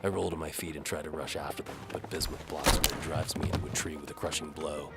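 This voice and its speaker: Very deep-voiced male dramatic narrator over music. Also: little pitch movement, quick pace. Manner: dramatic, wry, hard-boiled. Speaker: narrator of an audio drama, telling it as the hero. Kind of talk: scripted first-person adventure narration. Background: music under the voice.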